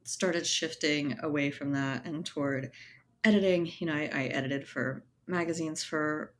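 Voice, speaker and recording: Low-voiced woman in casual interview talk. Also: fairly even intonation, a little slow, slight background noise. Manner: informal, thoughtful, often checks in with tag questions. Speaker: interview guest, writer and editor.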